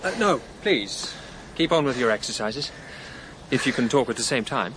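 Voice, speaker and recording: An actor playing a measured, tactful male family lawyer, speaking formally and carefully in a radio drama. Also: mid-range voice, animated, background noise, lightly acted. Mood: polite. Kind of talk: naturalistic radio drama acting.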